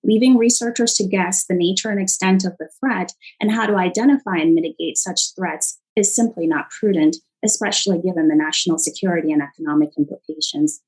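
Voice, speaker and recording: Female government witness, lower register, measured formal reading of prepared testimony. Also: darkish tone, slightly rough, rough recording.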